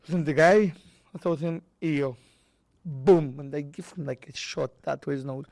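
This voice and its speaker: A man's voice: on the high side, normal speed, gravelly, a little mellow, no room sound. Maltese man telling stories, very dry sound. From Malta. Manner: acts out dialogue and impact sounds; non-native, broken English with Maltese mixed in. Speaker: boxer and boxing trainer, interview guest. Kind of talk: casual animated storytelling.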